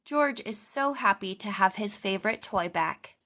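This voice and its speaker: A woman reading a children's story aloud as host, with enthusiastic, clearly phrased narration for toddlers. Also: mid-range voice, lively intonation, normal speed, noisy background.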